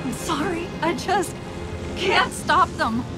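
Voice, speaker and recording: A woman playing a fragile, overwhelmed artificial intelligence breaking down in a game cinematic, halting and distraught. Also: extremely high pitch. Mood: anguished, apologetic. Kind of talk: video game cinematic acting. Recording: loud music over the voice.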